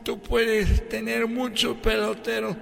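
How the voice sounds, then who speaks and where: A man's voice: very high voice, level tone, takes it slowly, vocal fry, long reverb tail, full character voice. A man voicing the ghost of an old male revolutionary leader, speaking in a proud, fatherly, grandiose way. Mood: solemn.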